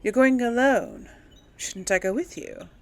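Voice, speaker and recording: A woman's voice: fairly high pitch, a little slow, darkish tone. A woman hosting a casual let's play, musing aloud informally and hesitantly as she talks and role-plays through a game. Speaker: gaming video host.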